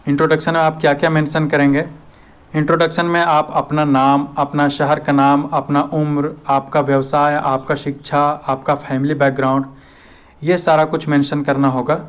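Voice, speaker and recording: A man's voice: on the high side, flat delivery, normal speed. A man with an Indian accent giving informal, step-by-step instructions as a video host.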